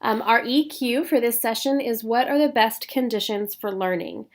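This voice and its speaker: A woman's voice: a little high, normal speed. Stuffy-nosed woman presenting to teachers. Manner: clear, paced teacherly explanation with frequent "um". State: stuffy nose from a cold. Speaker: instructional coach. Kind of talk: recorded professional development presentation.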